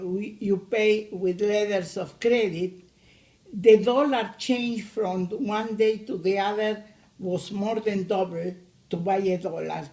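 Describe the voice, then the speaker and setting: A woman in her eighties, originally from Argentina, speaking English with an Argentine Spanish accent as she recalls her life in a family interview, with frequent pauses and hesitations. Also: medium pitch, darkish tone, rough recording. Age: 80s. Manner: slow, hesitant, many pauses. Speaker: grandmother interviewee.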